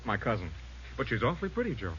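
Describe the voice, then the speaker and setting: An actor playing a friendly, bantering police detective in an old radio drama. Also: male voice, mid-range voice, wildly swooping pitch, fast, a bit bright. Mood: friendly coaxing. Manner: breezy, bantering. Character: breezy, friendly male police detective, married pal. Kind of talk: 1940s-50s radio drama acting. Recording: muffled old radio recording, faint noise.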